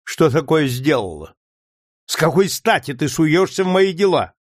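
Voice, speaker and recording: A man voicing a cruel, brutal male plantation master who shouts and mocks. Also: on the high side, big pitch swings, normal speed, no echo, clean studio sound, pitched above the performer's own voice. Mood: contemptuous. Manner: harsh, shouting, mocking.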